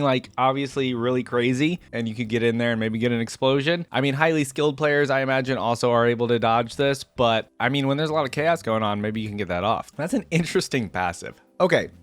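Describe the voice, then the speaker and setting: A man hosting a casual game reaction video, reading text aloud and thinking out loud in a chatty way with many fillers. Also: mid-range voice, no echo.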